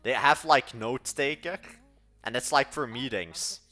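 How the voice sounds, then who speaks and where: Bright-voiced rambling young man. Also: mid-range voice, measured pace, faint music behind. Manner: rambling, trails off mid-thought. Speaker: livestreaming coder. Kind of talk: casual live-stream chat.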